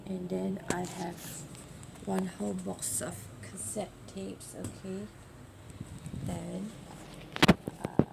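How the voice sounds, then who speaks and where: A woman in her late forties with a Singaporean accent, giving casual, halting unboxing commentary and ending phrases with "ah". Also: lowish voice, vocal fry. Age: late 40s. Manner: halting, with trailing "ah" particles. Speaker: vlogger. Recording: noisy amateur home recording.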